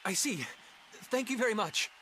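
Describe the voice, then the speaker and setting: An actor playing an earnest, modest young adventurer in a fantasy game, hesitant and self-effacing. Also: male voice, high-pitched, lively intonation, normal speed, pushed and bright. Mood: polite. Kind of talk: anime-style fantasy game dialogue. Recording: clear game ambience noise behind.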